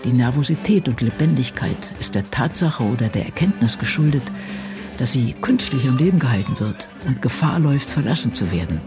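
Casting: male actor for brooding, anxious diarist in radio drama, very animated delivery dropping toward intimate hush, over music. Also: medium pitch, brisk, close to an everyday voice. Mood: reflective. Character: aging woman crime novelist reading her diaries, brooding, anxious.

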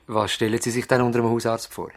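An actor playing an earnest, courteous doctor at a village practice in a radio play, with a Swiss German accent. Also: male voice, mid-range voice, little pitch movement, fast, natural voice. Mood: curious, courteous. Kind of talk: radio play acting, dialogue and dictation. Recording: 1970s radio drama recording.

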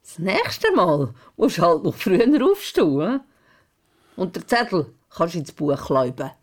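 A woman's voice: fairly low pitch, very animated, unhurried. An older-sounding woman playing a down-to-earth, teasing grandmother with a Swiss German accent in a children's radio play. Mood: brisk, teasing. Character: knowing, matter-of-fact, teasing old grandmother.

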